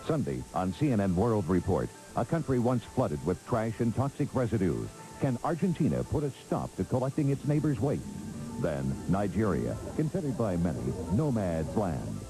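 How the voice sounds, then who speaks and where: A man's voice: on the low side, big pitch swings, moderate pace, rough recording. A man with an American accent reading a scripted television programme promo as an announcer. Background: loud music.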